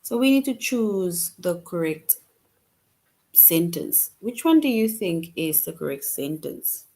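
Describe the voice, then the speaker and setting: Slow, low-voiced woman teaching. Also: big pitch swings, warm tone. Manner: slow, patient, reads answer options aloud. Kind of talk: online grammar lesson for children.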